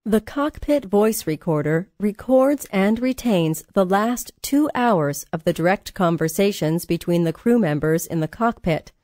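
A woman reading scripted training narration in a measured, formal manner, as a course narrator. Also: lowish voice, a little mellow, no echo, clean studio sound.

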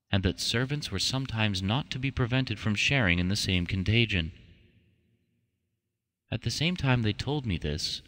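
A man reading a scripted ghost story as an audiobook narrator, in a measured, formal, deliberate way. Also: on the low side, fairly bright tone. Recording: long reverberant tail.

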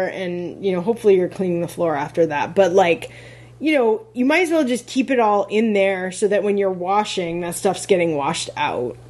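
Husky-voiced chatty woman podcaster. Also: mid-range voice, darkish tone. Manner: chatty, many "like" fillers, laughs often. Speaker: podcast co-host, rental host. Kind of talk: casual podcast chat.

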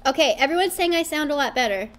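A young woman hosting a live craft demo in a small studio, chatty and playful, with a high, girlish voice. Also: animated, quick pace, a bit bright. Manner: chatty, playful, self-teasing. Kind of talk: live-streamed product demo. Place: small studio with branded backdrop, indoors.

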